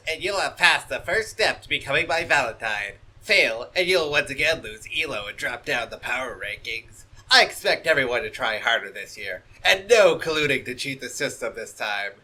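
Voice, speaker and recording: A man's voice: mid-range voice, sing-song, moderate pace, hardly any pauses, bright, forceful tone, heavily acted, poor audio. Man doing a pompous know-it-all bird. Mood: smug. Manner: pompous and self-important.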